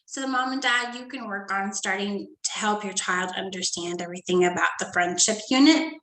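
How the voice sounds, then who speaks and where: A woman teacher reading a story to young children and going over a study guide, talking gently and checking in with "okay?" often. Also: medium pitch, moderate pace, echoey room. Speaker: first grade teacher.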